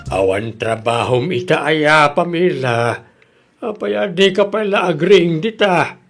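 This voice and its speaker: A man's voice: on the high side, expressive, slow pace, music in the background. An actor playing a plain-spoken father and husband who questions and scolds, with an Ilocano accent in a radio comedy drama. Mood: grumbling.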